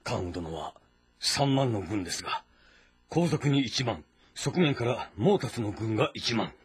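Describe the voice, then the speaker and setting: An actor playing a composed, calculating and loyal strategist in a historical game drama, speaking formally and deliberately. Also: male voice, fairly low pitch, animated. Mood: calm, reporting. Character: composed, calculating, loyal male strategist advising his lord.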